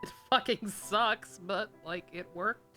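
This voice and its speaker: A woman's voice: mid-range voice, slow, pushed and bright. A woman streamer giving casual live commentary on a game, with informal, sweary, reactive asides. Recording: game music behind. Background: game music.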